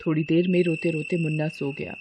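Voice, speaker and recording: A woman's voice: sits low, flat delivery, fairly quick, heavy background noise. A woman narrating a scripted horror story in a dramatic storytelling style.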